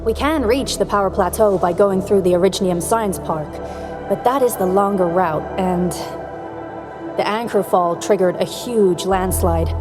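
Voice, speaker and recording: A woman's voice: medium pitch, moderate pace, in a large hall, over music, lightly acted. A woman playing a businesslike, authoritative director who speaks formally and matter-of-factly in a video game. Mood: businesslike, concerned. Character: serious, businesslike female director, brisk and authoritative. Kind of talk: video game character acting.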